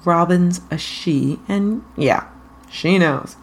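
A woman voicing a smooth, guarded and smug man, a reformed villain in a story. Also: on the low side, slow.

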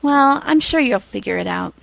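A woman voicing a sweet, cheerful, encouraging young woman from a story, with a gentle upbeat lilt. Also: high-pitched, animated, moderate pace, vocal fry, dry recording, voice pushed up, full character voice.

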